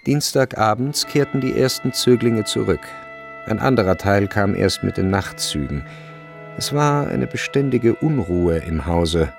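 A male narrator reads literary prose for a radio drama. His voice is fairly low with noticeable fry, and his delivery is measured and grave yet expressive, with faint music behind.

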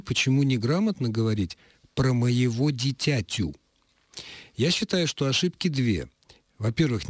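A male radio host in a studio, reading listeners' letters aloud in a deliberate way with careful emphasis. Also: mid-range voice, breathy edge, no room sound.

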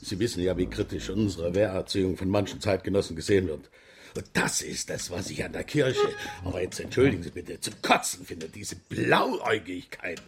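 An actor playing an older, blustering communist painter in a radio drama, emphatic and declamatory. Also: male voice, low voice, lively intonation, normal speed, gravelly, noisy background. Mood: indignant, preaching.

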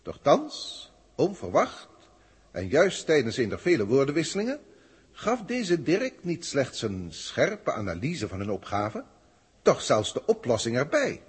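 A man with a Netherlands Dutch accent playing a haughty, learned alien senior scientist in a 1960s radio drama, speaking in formal, pedantic, theatrical phrases with a husky voice. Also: mid-range voice, wildly swooping pitch, a little slow, exaggerated voice. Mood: formal, reporting.

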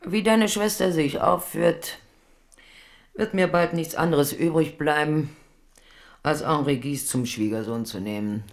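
A woman's voice: low-pitched, a little slow. An actress in a radio drama playing a middle-aged queen mother, domineering and scheming, with a commanding, condescending manner. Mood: cold, condescending.

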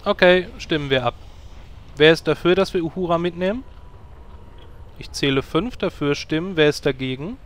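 A man's voice: a little high, lively intonation, moderate pace, long pauses, lightly acted. A man playing a bossy guild leader. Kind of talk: parody audio drama.

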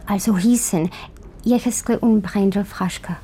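A woman's voice: mid-range voice, unhurried, mellow, slight background noise, close to an everyday voice. An actress in a radio drama playing an anxious Holocaust survivor searching for her lost sisters, hesitant and insistent.

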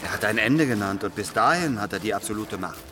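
Ein Schauspieler spielt in einem Hörspiel einen nüchternen, skeptischen Mitverschwörer, der bedächtig und sachlich spricht. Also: male voice, on the low side, lively intonation, fast talker, close to an everyday voice. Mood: sober, sceptical. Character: sober, sceptical fellow conspirator weighing the odds. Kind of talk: radio drama acting.

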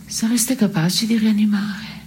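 An actress playing a dry, needling woman in absurdist theatre, clipped and deadpan, often slipping toward a whisper. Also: mid-range voice, a little slow, slight background noise, natural voice. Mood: deadpan, dark. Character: dry, needling woman musing darkly on morals. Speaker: stage actress. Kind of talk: scripted absurdist theatre dialogue.

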